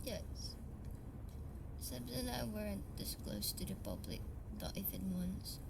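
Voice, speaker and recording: Girl voice actor doing young tsundere delinquent woman, halting and emotional, sweary audio roleplay, noisy background. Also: medium pitch, wildly swooping pitch, gravelly, full character voice. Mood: hushed, weary. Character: tearful young tsundere delinquent woman, secret company heiress. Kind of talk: amateur audio roleplay, intimate dramatic monologue.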